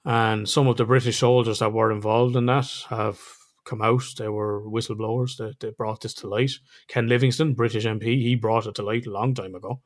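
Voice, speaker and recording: A man's voice: fairly low pitch, fairly even intonation, brisk. Irish guy cohosting a podcast, chatty, laughs a lot, swears a bit. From Ireland. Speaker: podcast co-host. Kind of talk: casual podcast chat.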